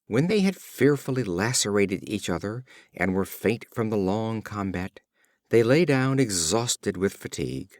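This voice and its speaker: A man narrating fables from a script as an audiobook reader, in an expressive, theatrical storytelling manner. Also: on the low side, measured pace, dry recording, studio quality.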